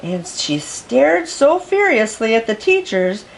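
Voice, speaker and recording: Slow expressive woman reading a picture book. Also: a little high, creaky, poor audio. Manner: expressive, explains words for children. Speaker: storyteller.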